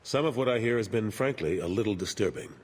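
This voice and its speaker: A man playing a seasoned, frank military admiral in a video game, with a husky, measured voice. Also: fairly low pitch. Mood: grave. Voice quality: husky, rough-edged.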